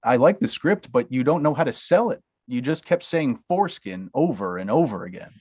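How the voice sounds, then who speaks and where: An actor at a table read playing a young talent manager with a dry, deadpan sense of humor. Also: male voice, mid-range voice, moderate pace, husky. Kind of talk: table read of a comedy script.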